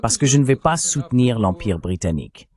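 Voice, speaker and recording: A man's voice: mid-range voice, big pitch swings, a little fast, a little mellow. A man delivering a translated voice-over of an interview, explaining things as a commentator.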